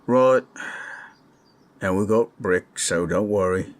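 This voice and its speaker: Man playing a low, gruff adventurer. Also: slow pace. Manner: gruff, terse, reassuring. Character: gruff, protective, steady male adventuring companion. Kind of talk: fantasy roleplay audio acting. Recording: storm noise behind. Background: rain and thunder.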